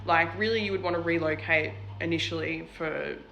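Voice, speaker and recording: A woman's voice: lowish voice, fairly even intonation, fairly quick, gravelly, fairly bright tone, slight background noise. A young Australian woman from New South Wales giving casual advice in a vlog, chatty and full of fillers like "like" and "um". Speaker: vlogger.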